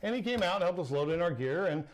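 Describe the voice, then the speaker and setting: A middle-aged American man chatting casually as an interview guest, telling personal stories in an animated way. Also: sits high, very fast. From Southern California, United States. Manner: animated storyteller, acts out quoted dialogue. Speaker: interview guest, sports announcer. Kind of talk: casual chat, personal storytelling.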